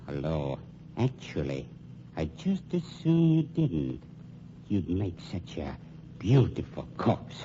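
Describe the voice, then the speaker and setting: An actor playing a coolly menacing funeral home owner with silky, formal politeness in an old-time radio crime drama. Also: male voice, low voice, slow, heavily acted. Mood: smooth, mocking. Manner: formal, silkily polite, deadpan. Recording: muffled, noisy old radio recording.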